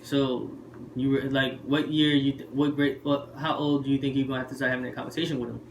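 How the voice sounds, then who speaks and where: A man's voice: mid-range voice, little pitch movement, fast. A young man from Haiti co-hosting a podcast, chatting casually over a card game and rambling with lots of fillers. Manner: rambling, many fillers like "what's it called". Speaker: podcast co-host. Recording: roomy room with faint background noise.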